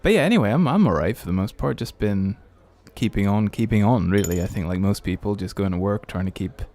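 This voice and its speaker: A man's voice: fairly low pitch, lively intonation, brisk, darkish tone. A man from Austria hosting an entertainment podcast in a casual, rambling and hesitant chat style. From born and partly raised in Austria. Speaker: podcast host.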